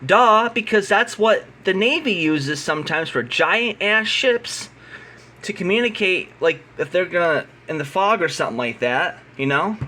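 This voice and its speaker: A man's voice: high-pitched, lively intonation, moderate pace, pushed and bright. An American man giving an unscripted, opinionated explainer in a blunt, combative, emphatic manner. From United States. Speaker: radio signal hobbyist.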